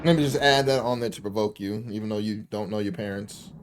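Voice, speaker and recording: A man's voice: fairly low pitch, fast talker, noisy background. A man giving casual let's play commentary, wisecracking, swearing and reacting aloud over game music.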